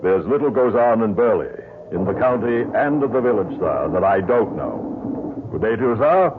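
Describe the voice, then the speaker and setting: Smug, pompous lord played by an actor, noisy radio. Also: male voice, on the low side, lively intonation, normal speed, lightly acted. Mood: smug, sly. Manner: pompous, chuckling condescension. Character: smug, blustery English lord, male, slyly prying villain. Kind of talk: old-time radio mystery acting. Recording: noisy narrowband old radio broadcast.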